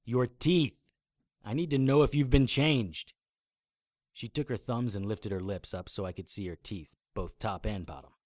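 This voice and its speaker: Hard-boiled monster hunter male actor, lowish voice, dry deadpan delivery, first-person audio drama narration. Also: dry recording, natural voice. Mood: guarded, urgent.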